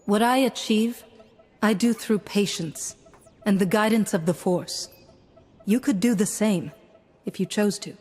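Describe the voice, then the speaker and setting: An actress playing a calm, dutiful female Jedi sage in a video game, speaking formally and measuredly in a reverberant space. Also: medium pitch, a little slow, pauses often, slightly rough. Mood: serene. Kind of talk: scripted video game dialogue. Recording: reverberant hall, background music.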